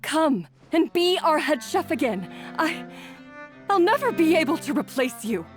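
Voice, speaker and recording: Earnest, self-doubting chef, soft music behind. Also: female voice, high-pitched, very animated, a little slow. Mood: remorseful. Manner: earnest, hesitant. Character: self-doubting, remorseful female head chef. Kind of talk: video game voice acting.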